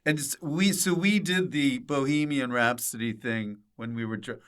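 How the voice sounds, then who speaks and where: A man hosts a casual comedy podcast with a smooth, clear voice at an average pitch. He talks informally and playfully, in a jokey conversational way. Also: normal speed, fairly bright tone. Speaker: podcast host. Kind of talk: casual comic podcast chat.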